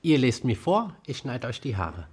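An actor playing a playful, teasing, showy star hairdresser visiting a school in a children's radio play. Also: male voice, mid-range voice, sing-song, normal speed, breathy edge. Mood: upbeat persuading.

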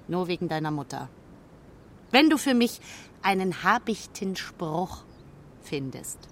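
A woman's voice: fairly low pitch, very animated, unhurried, slight background noise. A woman playing a haughty, aloof female hawk in a children's radio play, speaking in a brusque, proud way. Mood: grudgingly helpful.

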